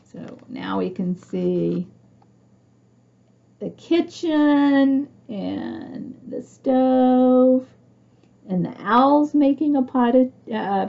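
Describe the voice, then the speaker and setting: A woman reads a storybook to small children at an unhurried pace. Her pitch swings in an animated way, with some creak in the voice, and she chats to her young listeners as she goes. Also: lo-fi sound. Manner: expressive, asks young listeners questions. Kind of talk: read-aloud picture book with chatty asides.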